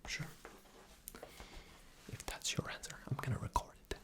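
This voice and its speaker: A man's voice: normal speed, natural voice. A man doing an ASMR roleplay as an arrogant, impatient, rude boss, with a condescending, demanding manner. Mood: impatient, scornful. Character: arrogant, impatient, rude male boss interviewing a job applicant.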